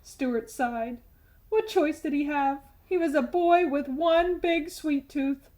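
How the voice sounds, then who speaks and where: A woman's voice: high voice, slow, a little mellow. A woman storyteller reading a children's picture book aloud expressively.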